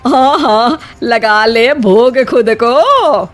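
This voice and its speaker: Woman acting a bossy, slow-talking mother-in-law. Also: fairly high pitch, animated, soft background music. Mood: fond scolding. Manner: scolding, coaxing. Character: older mother-in-law, bossy but fond. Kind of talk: Hindi cartoon story acting.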